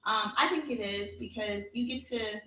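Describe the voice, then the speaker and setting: A woman's voice: on the high side, moderate pace, sharp tone, echoey room, distant mic, slight background noise, low-quality recording. A woman guest on a podcast, chatting conversationally and often checking in with "right?". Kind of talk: casual podcast discussion.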